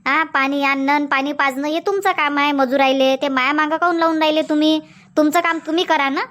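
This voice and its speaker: A woman's voice: high voice, steady tone, quick pace, fairly bright tone. A woman voicing a nagging middle-aged village farm wife in a comic cartoon, scolding. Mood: indignant. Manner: scolding, insistent, long rants. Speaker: cartoon voice actor.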